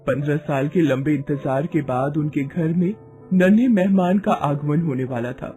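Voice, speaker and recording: A man's voice: a little high, moderate pace, warm tone, highs cut off, faint music behind. A man narrating a scripted moral story in a dramatic storytelling manner.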